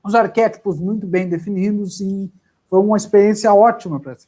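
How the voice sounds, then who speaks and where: A man's voice: high voice, fairly quick, dark tone. A man, an actor, chatting casually in a live interview about his career, often restarting his sentences. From southern Brazil. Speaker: actor guest.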